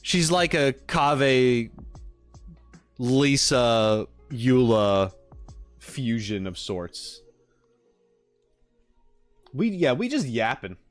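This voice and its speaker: Lively male streamer, casual and rambling. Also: mid-range voice, extremely slow, long pauses, faint music behind. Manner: rambling, slangy. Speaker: streamer hosting a live chat. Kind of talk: casual livestream chat with viewers.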